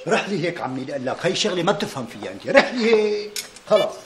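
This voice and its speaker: Fast, blustering Syrian know-it-all son-in-law. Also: male voice, high voice, very animated, echoey room. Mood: cocky, boastful. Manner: blustering, argumentative, cocky. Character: boastful, stubborn, bungling son-in-law who insists he can fix anything. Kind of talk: TV comedy drama acting.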